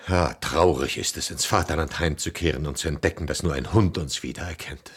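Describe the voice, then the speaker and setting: An actor playing Odysseus, a flattering, evasive seafaring husband come home, with a husky, breathy voice in a German radio play. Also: male voice, rumbling low, fairly quick, heavily acted. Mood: contrite, placating. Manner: flattering, placating, theatrical.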